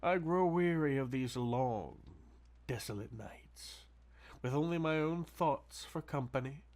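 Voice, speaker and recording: A man playing a sadistic, possessive vampire lord in an ASMR roleplay, with a silky, mocking, theatrical drawl and breathy, seductive touches. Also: medium pitch, unhurried, darkish tone, natural voice. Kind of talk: ASMR audio roleplay, intimate dramatic monologue.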